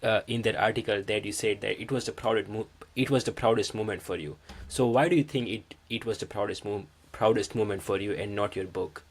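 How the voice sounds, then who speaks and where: Male interviewer, lowish voice, questions with restarts, short yeahs. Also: fairly even intonation, a little fast, few pauses. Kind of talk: podcast interview.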